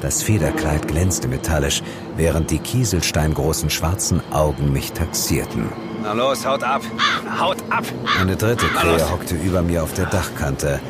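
An actor playing a world-weary, sardonic ghost hunter, narrating an audio drama in a measured, dry way. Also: male voice, very deep voice, animated. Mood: brooding, measured. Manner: measured storytelling with dry sarcasm. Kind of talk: scripted first-person audio drama narration. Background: loud music.

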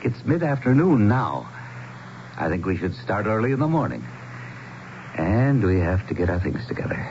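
An actor playing a loyal, cautious small-town mayor in a 1970s radio drama, with an earnest, measured and rather formal manner. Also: male voice, on the low side, very animated, darkish tone. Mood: practical, cautious. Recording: dull, noisy 1970s radio broadcast.

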